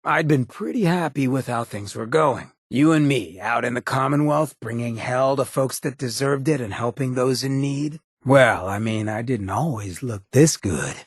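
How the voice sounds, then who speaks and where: An actor playing a swaggering, sardonic but loyal male ghoul rebel in a video game, with a very raspy, gravelly American voice and a streetwise, folksy drawl. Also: mid-range voice, normal speed, few pauses, dry recording, studio quality, close to an everyday voice. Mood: warm, sincere, then regretful. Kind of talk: video game companion voice acting.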